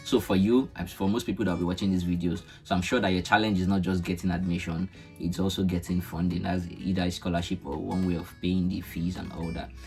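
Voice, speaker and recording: A man's voice: low-pitched, little pitch movement, fast talker, few pauses, soft background music. A young man with a Nigerian accent, a guest in a casual chat, explaining things earnestly step by step. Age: young adult.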